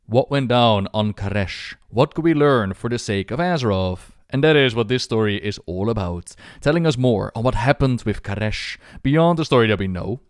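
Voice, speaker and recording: A man's voice: on the low side, no echo, clean studio sound. A man hosting and narrating video game lore as scripted storytelling, with dramatic phrasing.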